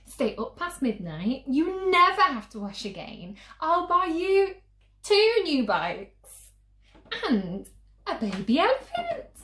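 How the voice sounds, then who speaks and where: A woman's voice: high-pitched, wildly swooping pitch, takes it slowly, pushed and bright, reverberant, voice pushed up, heavily acted. A woman voicing a storybook mum who pleads and coaxes with growing desperation, gushing out her promises. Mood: pleading, excited. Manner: coaxing, gushing through escalating lists.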